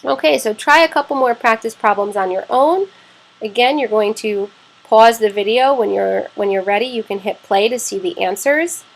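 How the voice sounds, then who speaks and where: A woman's voice: on the high side, moderate pace, vocal fry, rough recording. A woman science teacher narrating a lesson step by step, explaining plainly and often checking in with "okay".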